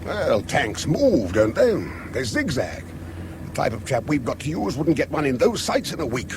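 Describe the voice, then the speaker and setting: Blustering, scornful army colonel. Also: male voice, medium pitch, sing-song, normal speed, background noise. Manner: snorting, gruff, dismissive. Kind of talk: radio drama dialogue.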